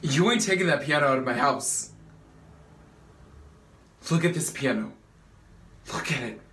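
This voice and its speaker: A young man acts out a grieving, accusing family member in a stage play. The delivery is emphatic and repetitive, at a middling pitch. It sits in an echoey room with faint noise behind. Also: a little slow, a bit bright. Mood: indignant. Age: young adult. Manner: emphatic, repeating phrases. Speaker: student actor. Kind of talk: dramatic stage monologue. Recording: roomy echo with background noise.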